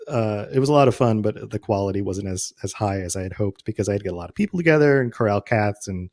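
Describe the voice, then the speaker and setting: A man's voice: on the low side, expressive, fast, a little mellow. A man hosting a podcast, explaining video game lore in a casual, conversational way. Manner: conversational, explanatory, quotes imagined reactions. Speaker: podcast host.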